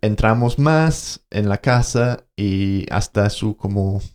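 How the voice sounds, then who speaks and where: Guy cohosting a podcast, low warm voice, keeps stopping to hunt for words. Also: expressive, slow pace, clear, resonant tone. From Colombia. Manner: hesitant, searches for words, restarts. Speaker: podcast co-host. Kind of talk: casual conversational storytelling.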